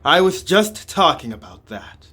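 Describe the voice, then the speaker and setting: A man's voice: fairly high pitch, sing-song, a little slow, background noise. A man reading translated game dialogue and narration aloud as a scripted playthrough. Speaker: reader voicing a game playthrough.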